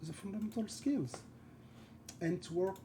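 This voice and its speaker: A man from France with a French accent, thinking aloud and chatting with viewers while he paints live, halting and searching for words. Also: high voice, warm tone, slight background noise. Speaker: concept art streamer. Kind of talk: live art stream chat.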